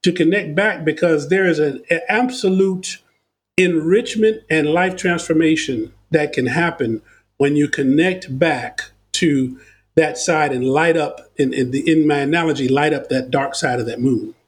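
An American man, a podcast guest, telling stories in animated conversation, often restarting his sentences. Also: fairly high pitch, measured pace. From United States. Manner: animated, uses analogies, repeats words when starting thoughts. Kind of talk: conversational storytelling.

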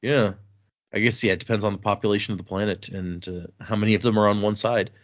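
A man hosting a podcast, chatting casually and reading listener emails aloud in a relaxed, halting way. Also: on the low side, lo-fi sound. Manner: relaxed, halting, with restarts and fillers. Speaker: podcast host.

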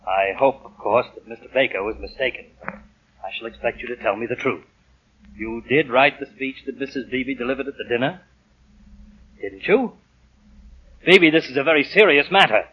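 Pompous, husky radio-drama boss played by a man. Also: mid-range voice, normal speed, pauses often, natural voice. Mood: stern, solemn. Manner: formal, pompous, hesitant when cornered. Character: pompous, stern, easily flustered company boss and husband. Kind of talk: 1940s radio acting. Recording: muffled 1940 radio broadcast recording.

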